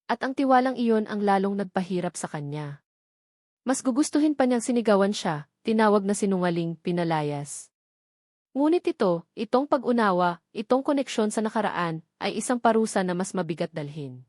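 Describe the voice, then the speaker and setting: A woman reading a scripted love story as narrator in a dramatic, measured manner. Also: medium pitch, animated, a little mellow, dry recording, clean studio sound.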